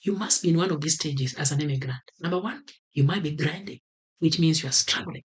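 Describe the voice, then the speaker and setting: A man's voice: on the high side, a little fast. A man from Kenya with a Kenyan accent, talking earnestly to camera and repeating his key advice for emphasis. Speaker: vlogger. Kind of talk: motivational talk to camera.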